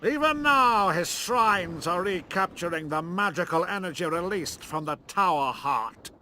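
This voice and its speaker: Casting: sneering, grandiose game villain, high-pitched and animated, a fanatically loyal imperial officer. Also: male voice, measured pace, slight background noise, heavily acted. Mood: scornful. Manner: haughty, grandiose, theatrical. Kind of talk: theatrical video game villain acting.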